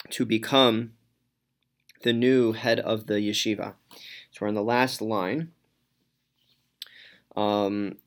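A man teaching a Talmud class, reading each Aramaic phrase and then translating it, with frequent pauses and ums. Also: mid-range voice.

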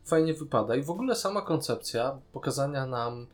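A man's voice: mid-range voice, expressive, fairly quick, soft background music. A man talking casually as a podcast co-host, giving his opinions in an informal way. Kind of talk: casual review chat.

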